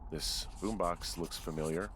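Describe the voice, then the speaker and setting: A man's voice: low voice, fairly even intonation, a little slow, fairly bright tone, a little hiss or hum. A male actor playing a subdued, reflective fire lookout in naturalistic game dialogue, plain and hesitant with an American accent.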